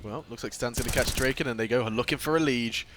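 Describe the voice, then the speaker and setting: A man's voice: medium pitch, fast talker. Male esports commentator, faint noise behind. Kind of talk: live game commentary with casual banter.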